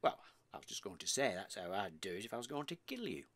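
Morbid, gossipy British husband, comic radio play. Theatrical and forceful, very dry close recording. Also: male voice, fairly low pitch, big pitch swings, fast, no echo. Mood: scheming, earnest. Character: excitable morbid husband spinning lurid murder theories.

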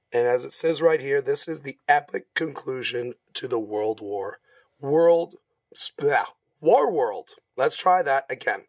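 Hoarse, raspy man, mid-pitched, dry close sound, laid-back shop presenter. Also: no room sound. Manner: informal, easygoing, stumbles now and then. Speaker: comic shop staff presenting new releases. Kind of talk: casual product walkthrough. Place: comic shop, indoors.

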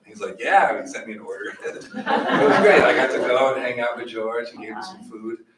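A middle-aged man teaching a Bible study in a small church room, animated, with questions for the audience. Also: medium pitch, moderate pace, very noisy. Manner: animated, gestures widely, asks the audience questions. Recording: echoey room, voice from far off.

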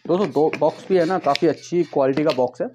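Casting: man, 30s, slightly high voice, informal vlog-style sales pitch, lapel mic in a noisy indoor room. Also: quick pace, mellow. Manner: repetitive filler phrases, addresses viewers as friends. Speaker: vlogger and shoe seller. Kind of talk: casual product pitch to camera. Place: tiled room at home with stock boxes, indoors. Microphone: clip-on lapel mic. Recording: noticeable background noise, clicks.

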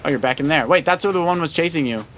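A man's voice: a little high, rapid-fire, a little hiss or hum. A middle-aged man giving casual, encouraging gameplay commentary like a coach at the player's side. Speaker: dad co-hosting a Let's Play. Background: loud video game music.

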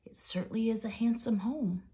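Woman reading as an agreeable, creaky storybook dog. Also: fairly high pitch, moderate pace, warm tone, pitched above the performer's own voice, lightly acted, low-quality recording. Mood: satisfied. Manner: agreeable, homely. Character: agreeable male dog, settling happily into a new home.

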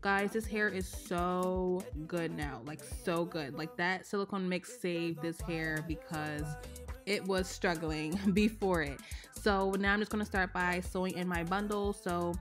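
A young woman, a little hoarse from a cold, chatting casually through a step-by-step hair tutorial voice-over. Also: on the low side, measured pace. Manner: chatty, relaxed, explains step by step with "like" and "um". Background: faint background music.

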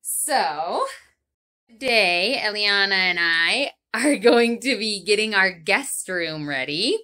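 A woman in her thirties, narrating a casual home cleaning vlog and speaking to her toddler in a gentle sing-song way, in a small bedroom with a camera across the room. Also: medium pitch, animated, slow pace. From United States. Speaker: vlogger and mother. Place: small apartment bedroom indoors. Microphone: camera set up across the room.

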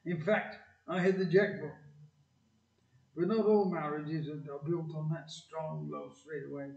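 An actor playing a tender working-class father who speaks haltingly and earnestly in an amateur audio play. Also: fairly high pitch, fairly even intonation, creaky, darkish tone. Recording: distant, echoey, dull hall recording.

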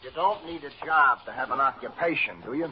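A man's voice: medium pitch, fairly quick, natural voice. A man playing a sardonic police detective, terse and needling, in an old-time radio drama. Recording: dull, noisy old radio recording.

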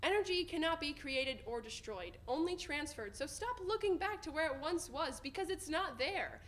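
A young woman performs slam poetry on an indoor stage. She has a high-pitched voice and delivers her phrases with emphasis, building them in rhythmic runs. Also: lively intonation, normal speed, fairly bright tone. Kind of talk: spoken-word poetry recital. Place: indoor stage in front of a curtain.

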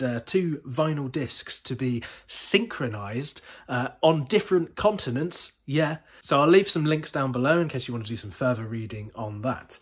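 A young man talks to camera as a museum presenter. His voice is fairly high and husky. He explains things informally, with chatty, wry animation. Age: young adult. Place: indoor museum rooms full of synthesizers.

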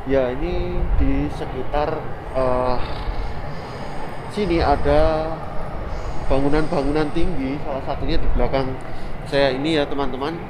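A man's voice: on the high side, fairly even intonation, a little slow, long pauses, background noise. Chatty man vlogging on a city street. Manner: chatty, many fillers, keeps addressing viewers as friends. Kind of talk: casual walking tour vlog. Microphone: phone held at arm's length.

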